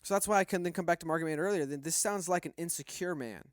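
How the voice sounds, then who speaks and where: A man with a slightly high voice speaks rapidly in a dry, close recording. He hosts a casual panel debate, probing and disputing points as he goes. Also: no echo. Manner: questioning, argumentative. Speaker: podcast panel host. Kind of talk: casual debate on a discussion podcast.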